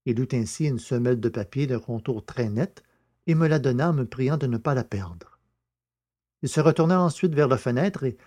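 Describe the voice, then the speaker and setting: Mellow male audiobook narrator. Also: mid-range voice. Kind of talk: scripted literary narration.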